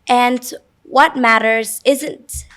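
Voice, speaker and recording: A young female student with a fairly high voice answers an interviewer's questions. She speaks English fluently and thoughtfully, with Vietnamese as her first language. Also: extremely slow, fairly bright tone. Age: teens.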